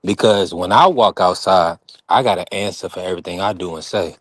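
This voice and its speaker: A man's voice: low-pitched, fairly quick, dry recording. A man from North Carolina hosting a boxing stream, talking firmly and preachily in a heated casual chat. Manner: firm, preachy, talks over others. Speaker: channel host.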